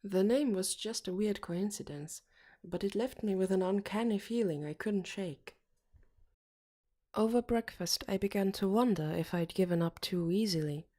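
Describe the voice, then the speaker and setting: A woman narrating an audiobook as a pensive, uneasy teenage boy, in a measured, introspective way. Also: mid-range voice, dark tone, close to an everyday voice. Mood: pensive, resolute.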